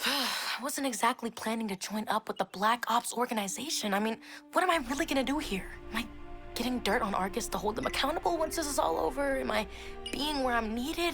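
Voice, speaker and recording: An actress playing a sassy, upbeat young woman streamer in a video game cutscene, over loud music. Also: a little high, animated, brisk. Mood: reflective. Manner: chatty, upbeat, playful.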